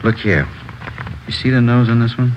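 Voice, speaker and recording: An actor playing a methodical, plainspoken police records lieutenant in a 1950s radio drama. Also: male voice, mid-range voice, normal speed, close to an everyday voice. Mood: explaining. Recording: dull, faintly noisy vintage radio recording.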